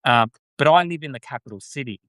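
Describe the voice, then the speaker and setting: A man's voice: mid-range voice, quick pace, fairly bright tone, no room sound. A man with an Australian accent hosting a casual live podcast chat, chatty, with lots of ums and restarts. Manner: chatty, frequent ums and self-corrections. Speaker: podcast host.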